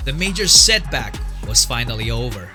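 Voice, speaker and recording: A man's voice: mid-range voice, big pitch swings, normal speed, over loud music. A man narrating a fan-made documentary from a script, in a chatty way that asks the viewer questions.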